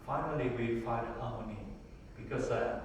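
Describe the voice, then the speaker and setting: A Buddhist monk with a Vietnamese accent who answers interview questions in simple English, pausing often and filling gaps with hesitations. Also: male voice, mid-range voice, vocal fry. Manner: halting, many pauses and fillers, simple English. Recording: echoey hall, far from the mic, faint noise.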